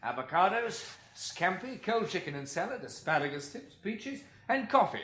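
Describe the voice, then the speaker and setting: An actor playing a practical, tough-minded British man surviving an apocalypse in a radio drama, with a wry, brisk manner. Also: high voice, very animated, a bit bright. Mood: quietly wry.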